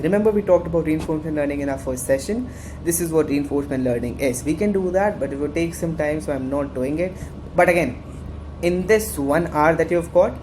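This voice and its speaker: A man's voice: a little high, noisy background. A man with an Indian accent leading a casual screen-share workshop, talking conversationally and repeating phrases for emphasis. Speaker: workshop instructor.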